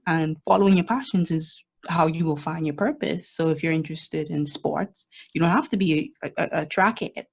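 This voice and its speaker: Female podcast co-host, lowish husky voice, dull lo-fi recording. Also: normal speed, few pauses. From Bahamas. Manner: earnest, opinionated, plain-spoken. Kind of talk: casual podcast chat. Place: the Bahamas, remote call. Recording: dull lo-fi audio.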